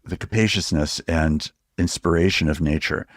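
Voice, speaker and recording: An American man hosting a reflective interview, speaking in deliberate, measured phrases with pauses. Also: low-pitched. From Pacific Northwest, United States. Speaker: podcast host and interviewer.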